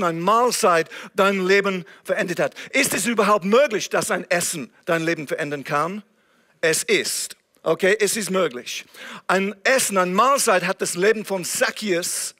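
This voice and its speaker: A man's voice: sits high, normal speed, bright, forceful tone. A man from England preaching a sermon in German with an English accent, earnest and now and then searching for words. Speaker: guest preacher.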